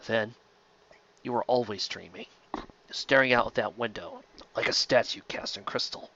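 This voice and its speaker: Older alien mentor, done by a male narrator: stern, measured, authoritative, with a forceful edge, for storytelling. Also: mid-range voice, lo-fi sound, slight background noise. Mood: chiding, measured.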